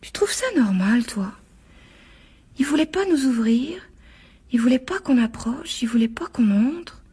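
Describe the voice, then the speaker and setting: An actress in a radio drama playing an uneasy young woman, soft and breathy, who questions things hesitantly. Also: fairly high pitch, lively intonation, measured pace, heavily acted. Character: uneasy young woman, timid, suspicious, apologetic.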